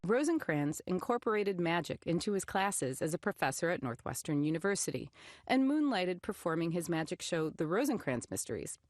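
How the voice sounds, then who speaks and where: A woman reporter reading a scripted news feature narration in a measured, expressive way. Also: fairly low pitch, dry recording.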